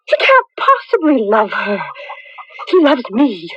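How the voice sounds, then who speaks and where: A woman's voice: fairly high pitch, huge pitch swings, takes it slowly, fairly bright tone, dry recording, heavily acted. Bitter, proud jilted young farm woman, swooping intonation. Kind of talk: old-time radio drama acting. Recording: dull, lo-fi radio drama recording.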